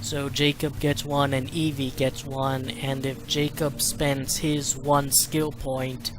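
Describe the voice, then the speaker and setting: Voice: medium pitch, little pitch movement, slow, noisy background. A person giving casual commentary and walkthrough on a video game they are playing. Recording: game music behind the voice. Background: video game music and sounds.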